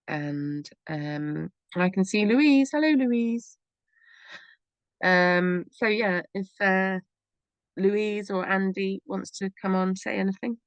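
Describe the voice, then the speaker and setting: A woman's voice: lowish voice, dry recording. A woman hosting a talk radio show, giving rambling, encouraging advice and laughing often. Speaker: radio show host and EFT therapist.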